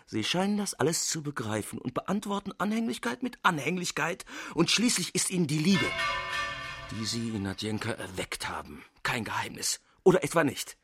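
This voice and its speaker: An actor playing an analytical, reproachful country doctor in a German radio drama, with a formal, pointed, lecturing manner. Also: male voice, on the high side, big pitch swings, normal speed, fairly bright tone, husky, no room sound, studio quality, soft background music, full character voice. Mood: coolly analytical. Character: analytical, reproachful male country doctor confronting his friend.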